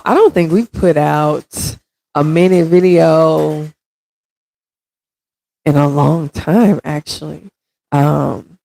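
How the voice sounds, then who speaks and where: A woman in her thirties hosting a casual livestream, congested and with a raspy throat, chatting directly with viewers and reading their comments. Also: sits low, dark tone, no room sound, low-quality recording. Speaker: stream host.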